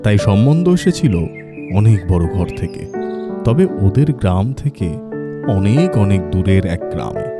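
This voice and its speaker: Expressive male storyteller over loud music. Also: lowish voice, moderate pace. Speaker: narrator. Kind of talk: scripted dramatic story narration. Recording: loud music under narration.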